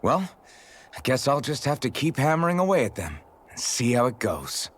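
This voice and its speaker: A man's voice: medium pitch, sing-song, normal speed, husky. A man playing an earnest, patient young fantasy hero in a video game. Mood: frustrated. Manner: earnest, conciliatory.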